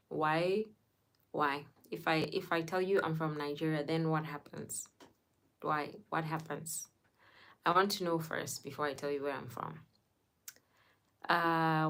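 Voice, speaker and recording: A young woman from Zimbabwe hosting a casual livestream, chatting with viewers, greeting them by name and laughing often. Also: low voice. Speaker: livestream host.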